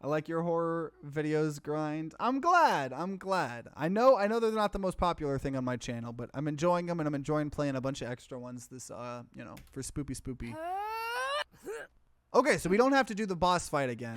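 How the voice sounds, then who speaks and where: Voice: medium pitch, very animated, moderate pace, no echo. A let's play host giving casual, rambling, conversational commentary on a game. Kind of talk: casual gaming livestream commentary. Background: game music.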